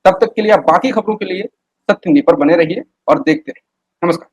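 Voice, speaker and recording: High-pitched male news anchor. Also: brisk, highs cut off. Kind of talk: scripted news narration.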